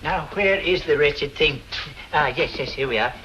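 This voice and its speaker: An actor playing a fussy, easily flustered and forgetful art shop owner in an old detective drama. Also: male voice, on the high side, moderate pace, pushed and bright, lo-fi sound. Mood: flustered, polite. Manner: fussy, scolding, flustered exclamations. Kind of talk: old-time detective film acting. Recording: old soundtrack with background noise.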